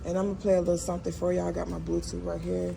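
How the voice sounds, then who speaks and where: A woman's voice: fairly low pitch, flat delivery, very fast, gravelly, background noise. A woman giving a casual, folksy hands-on product demo. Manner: folksy, informal. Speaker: product reviewer.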